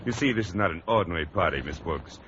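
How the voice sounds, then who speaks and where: Gallant French schoolteacher, lively and halting. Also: male voice, low voice. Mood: earnest, eager. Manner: courtly, halting broken English. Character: genteel married French male schoolteacher, earnest and gallant. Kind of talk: 1940s radio sitcom acting. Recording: dull, noisy vintage radio recording.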